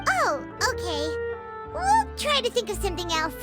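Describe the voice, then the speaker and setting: A woman voicing a small, childlike fairy companion in a video game, chirpy, cutesy and excitable. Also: normal speed, over music, heavily acted. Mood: puzzled, deflated. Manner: squeaky, cutesy, refers to self by name. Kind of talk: video game character dialogue.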